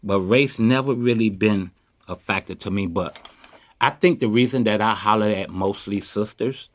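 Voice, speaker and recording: Boastful low-voiced man in his fifties. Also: moderate pace. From Chicago, USA. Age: 50s. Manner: boastful, streetwise slang. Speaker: interviewee on the street. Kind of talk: candid street conversation. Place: city street. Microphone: handheld mic.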